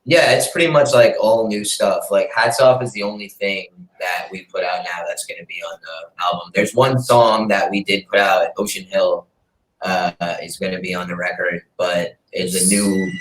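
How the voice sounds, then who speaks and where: A man's voice: sits low, steady tone, normal speed, a bit bright. A man, a singer-songwriter, talking about his music in a laid-back, rambling way, as a guest in an interview. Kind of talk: casual interview chat. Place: room in a city apartment, street noise outside. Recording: roomy echo.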